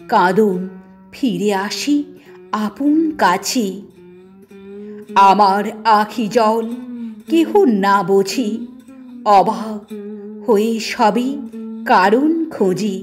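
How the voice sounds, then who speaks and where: Very slow woman reciting poetry over music. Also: mid-range voice. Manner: measured, lingering short phrases with deliberate pauses. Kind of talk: expressive Bengali poetry recitation.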